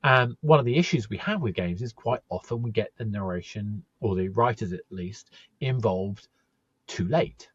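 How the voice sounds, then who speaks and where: A man's voice: lowish voice, animated, moderate pace, slightly rough, dry recording. A man hosting a podcast interview, talking conversationally and probing with questions, on a slightly muffled microphone.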